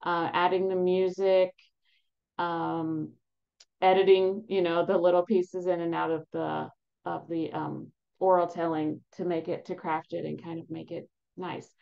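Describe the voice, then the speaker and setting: A woman's voice: fairly low pitch, level tone, a little slow, vocal fry. Upbeat woman on a webinar call. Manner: upbeat, encouraging, with many fillers and restarts. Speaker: advocacy program staff presenting a webinar. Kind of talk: casual webinar presentation. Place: home room behind a virtual background. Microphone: laptop webcam mic on a video call. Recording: slightly dull video call audio.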